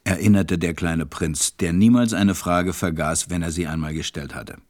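An actor serving as the narrator of a radio play, reading a children's story in a measured, scripted way. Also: male voice, low-pitched, darkish tone, natural voice. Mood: measured narration.

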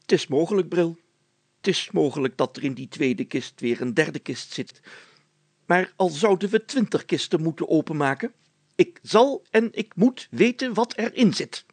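Storybook mayor, acted by a man, pompous, grumpy, whiny, stubborn, raised pitch, husky edge. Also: lively intonation, normal speed, dry recording. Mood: stubborn, blustering. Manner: blustering, complaining, commanding. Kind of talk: children's storybook character acting.

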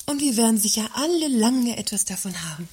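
A woman's voice: on the high side, expressive, a little fast, warm tone, breathy edge. Woman playing a biting, sarcastic staff representative. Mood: smugly sarcastic. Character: sharp-tongued, sarcastic female works council member. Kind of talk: satirical German radio play acting.

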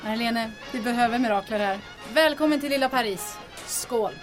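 An actress playing a confident, quick-witted, sociable woman with an encouraging manner in a comic radio drama. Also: fairly high pitch, music in the background, lightly acted. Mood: curious, cheerful.

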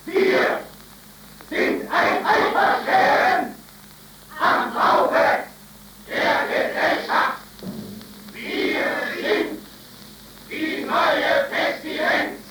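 Echoing, drawn-out chant of society's outcasts. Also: female voice, high-pitched, lively intonation, pushed and bright, some chatter behind, full character voice. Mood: accusing, bleak. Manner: declamatory, drawn-out, solemn. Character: solemn accusing chorus voice of society's outcasts. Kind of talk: chanted 1930s radio declamation. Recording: echoing, distant, noisy 1930s radio recording.

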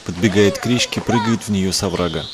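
Low-voiced male film audio describer. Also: quick pace. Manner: neutral, terse present-tense phrases. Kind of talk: scripted narration of film action. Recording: film soundtrack noise behind voice-over.

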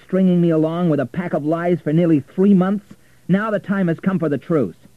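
A forceful young man in love, as a male narrator acts him out in a storybook reading. He is impulsive and easily wounded, and his voice rides well above a plain telling. The sound is thin and phone-like. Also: mid-range voice, lively intonation, normal speed, breathy edge, no room sound, pitched above the performer's own voice. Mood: angry, accusing. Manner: forceful, indignant.

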